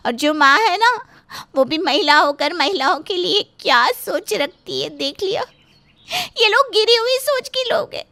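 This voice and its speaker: A woman's voice: extremely high pitch, pushed and bright, no room sound, heavily acted. A woman playing a hurt, defiant young unwed mother-to-be in a dramatized moral story. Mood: scornful, firm.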